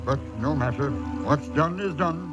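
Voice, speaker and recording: An actor playing a brooding confessed murderer in a radio drama, speaking in a deliberate, menacing, theatrical way. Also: male voice, on the high side, dull audio, natural voice. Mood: grim, tormented. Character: brooding confessed murderer telling his grim tale. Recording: radio drama with loud music behind.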